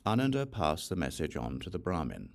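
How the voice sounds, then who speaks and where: A very low male voice with a gravelly creak reads an ancient scripture aloud. He narrates in a deliberate, measured storytelling manner, with lively rises and falls in pitch. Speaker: audiobook reader.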